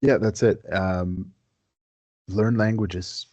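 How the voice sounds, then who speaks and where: A young man from Quebec, a native French speaker, speaks in a very low, mellow voice. He talks earnestly and easily, repeating words and filling pauses as a podcast guest. The sound is dry and close, with no room around it. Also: no room sound. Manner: earnest, relaxed, with many fillers and repeated words. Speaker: guest historian. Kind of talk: casual podcast interview.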